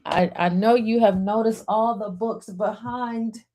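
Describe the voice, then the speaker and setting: Slow, mellow-voiced woman storytime host. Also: a little high, steady tone. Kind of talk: gentle guided centering and picture book read-aloud.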